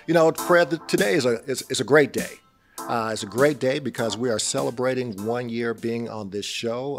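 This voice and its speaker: A man hosting an interview show from a history museum, often restarting and repeating words as he talks. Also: medium pitch. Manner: halting, repeats words while searching for phrasing. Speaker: show host, judge. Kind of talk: interview host.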